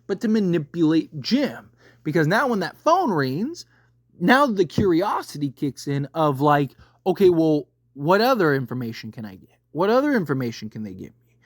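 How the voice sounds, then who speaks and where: A man's voice: sits high, no echo, clean studio sound. A man giving casual spoken commentary as a video creator, emphatic and often rephrasing his points to stress them. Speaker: video creator reviewing a TV series.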